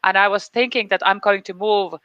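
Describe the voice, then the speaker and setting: Bright, clear woman, dull recording. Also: medium pitch, fairly even intonation, brisk, dry recording. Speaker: guest, teacher working with immigrants. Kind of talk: casual interview chat.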